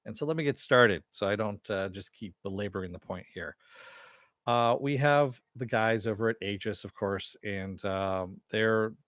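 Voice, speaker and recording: Chatty male stream host with a low voice and a close, dry home mic sound, rambling and talking back to his chat. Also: moderate pace, fairly bright tone, no room sound. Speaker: show host reviewing a TV episode. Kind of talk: casual live-stream chat and recap. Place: home room. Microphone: headset mic with headphones.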